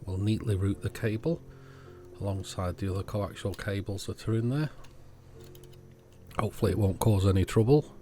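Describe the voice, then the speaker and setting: A man with a low-pitched voice narrates hands-on repair work step by step. His delivery is casual, understated and matter-of-fact, with music playing softly behind him. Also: normal speed. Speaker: host of an electronics repair channel. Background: background music.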